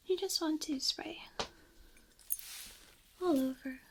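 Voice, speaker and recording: A woman speaking softly and whispering in a hushed, gentle ASMR style, giving step-by-step demonstrations into a close microphone. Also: high voice, slow pace. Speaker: ASMR host demonstrating plant care in a role play. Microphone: close binaural microphone. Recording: faint background noise, binaural close-miked.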